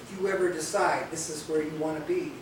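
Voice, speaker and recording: A man's voice: on the high side, steady tone, a little fast, natural voice. A man acting an earnest, idealistic elder rebel mentor in a stage play. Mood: earnest, reflective. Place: theatre stage, recorded from a distance. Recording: noisy, echoey stage videotape from far off.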